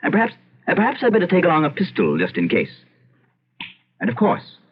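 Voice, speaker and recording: An actor playing a pompous Irish gentleman landowner, emphatic and often interrupting himself, in an old-time radio drama. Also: on the high side, huge pitch swings, brisk. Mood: brisk, commanding. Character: blustery Irish gentleman landowner, imperious, skeptical yet uneasy. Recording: muffled, hissy old radio recording.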